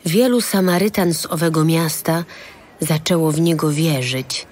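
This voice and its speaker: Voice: mid-range voice, normal speed, darkish tone, slightly rough. A narrator reading scripted narration for a dramatised audio Bible.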